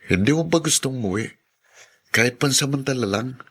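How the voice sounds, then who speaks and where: A man's voice: mid-range voice, lively intonation, brisk, fairly bright tone, breathy edge. A man playing an earnest, questioning young working student in a radio drama. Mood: wistful. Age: young adult. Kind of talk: Filipino radio drama acting.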